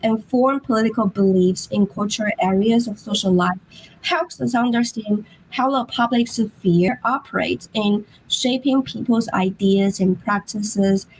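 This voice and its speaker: A woman presenting her research remotely at a conference, speaking slowly and haltingly with a hoarse voice. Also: mid-range voice, a little hiss or hum, rough recording. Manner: slow, halting, apologetic. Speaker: academic presenter.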